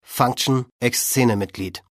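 A man's voice: mid-range voice, measured pace, noisy background. A male announcer reading scripted glossary entries and captions in a clipped, factual manner. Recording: loud music behind the voice. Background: hip hop music.

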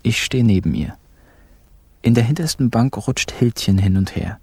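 An actor playing a laid-back, cheeky young man narrating a radio crime caper in deadpan slang. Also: mid-range voice, normal speed, breathy edge, no echo. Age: young adult. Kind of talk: first-person radio crime drama narration.

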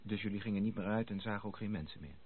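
An actor playing an earnest, sympathetic gentleman with a husky voice and courteous manner in a radio drama. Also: lowish voice, racing. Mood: sympathetic, sincere. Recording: tinny, band-limited old radio recording.

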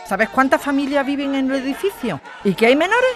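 An actress playing an indignant activist lawyer and daughter in an audio drama, challenging her opponent with forceful questions. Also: high-pitched, very animated, fairly quick, crowd noise. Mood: indignant, defiant. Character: combative activist lawyer daughter defending evicted neighbours.

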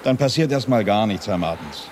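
A man's voice: fairly low pitch, animated, brisk, natural voice. An actor playing a male industrial site guide in a radio play, explaining things factually and evasively. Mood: unmoved. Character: matter-of-fact, evasive male silo facility guide. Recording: faint urban outdoor noise with vehicles.

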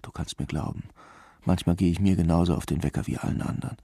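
Brooding police commissioner, gravelly, deep, introspective, restrained delivery, radio crime drama. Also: male voice, quick pace, dark tone, in a whisper, exaggerated voice. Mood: brooding, confiding. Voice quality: gravelly, husky. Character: weary, dogged, introspective male French police commissioner. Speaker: actor playing a police commissioner.

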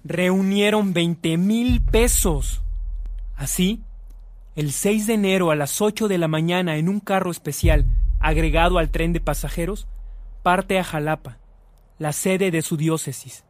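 A Mexican man narrates a radio drama from a script. His voice sits slightly higher than average for a man. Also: measured pace, no room sound.

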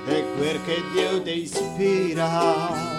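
A woman's voice: fairly low pitch, fairly even intonation, slow. A woman with a Roman accent singing an acoustic folk song in dialect. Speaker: singer. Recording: live singing over acoustic guitar.

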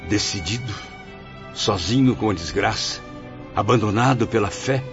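An actor with a Brazilian accent playing a proud, embittered blind writer's spirit in a radio soap, declaiming theatrically. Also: male voice, on the low side, moderate pace, close to an everyday voice. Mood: anguished. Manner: theatrical, declamatory, grandiose, with swelling and sinking emphasis. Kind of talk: radio soap opera acting. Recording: dull lo-fi audio, music behind.

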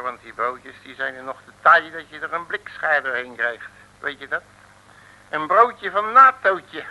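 A man's voice: a little high, pushed and bright, heavily acted. An actor playing a folksy, jovial male detective in a 1950s radio serial, talking in jocular slang. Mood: breezy. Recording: noisy old radio recording.

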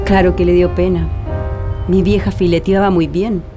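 An actress with a Chilean accent playing a nostalgic daughter who speaks fondly and conversationally in a radio drama. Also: female voice, lowish voice, level tone, moderate pace, close to an everyday voice. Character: nostalgic daughter fondly remembering her militant parents. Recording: radio play with music bed.

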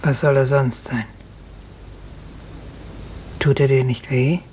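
Older village woman, low voice, urging and plain, old radio play with slight hiss. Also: steady tone, slow, warm tone. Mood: puzzled concern. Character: worldly, consoling older peasant woman urging drink. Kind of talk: 1950s German radio drama acting. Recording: faintly noisy 1950s radio recording.

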